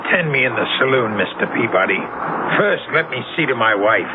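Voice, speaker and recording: An actor playing a fearsome, jealous old sea captain in a 1970s radio drama, with a commanding, theatrical, archaic way of speaking. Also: male voice, medium pitch, lively intonation, a little fast, narrow, tinny audio, close to an everyday voice. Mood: cold suspicion. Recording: noisy, band-limited 1970s radio broadcast recording.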